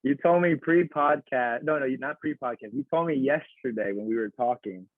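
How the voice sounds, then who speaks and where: A young man co-hosting a podcast with his father, quick and teasing, often laughing. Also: mid-range voice, dry recording. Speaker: podcast co-host, son. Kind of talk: casual chat. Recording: tinny phone-like sound, dry room.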